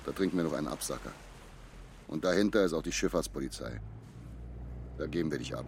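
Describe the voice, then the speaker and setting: Low-voiced, brooding old river skipper. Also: male voice, lively intonation, quick pace, creaky, noisy background, heavily acted. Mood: gruff, kindly. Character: weathered male barge skipper, ex-crook, gruff but kindly. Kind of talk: German audio drama acting, storytelling.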